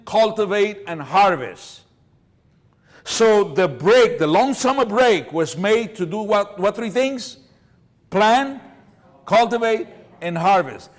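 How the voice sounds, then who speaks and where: Older man, high-pitched, emphatic lecturer. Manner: emphatic, animated, repeats words for emphasis. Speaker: guest speaker, educator. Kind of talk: public seminar lecture. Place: lecture hall, at a podium. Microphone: podium microphone.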